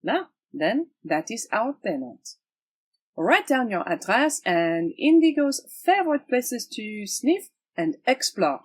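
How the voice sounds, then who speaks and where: A woman's voice: fairly high pitch, very animated, slow, husky, no echo, exaggerated voice. A woman playing a proud, teasing swashbuckling swordswoman in an audio drama, with a theatrical, imperious manner. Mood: resolute. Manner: theatrical, formal phrasing, imperious.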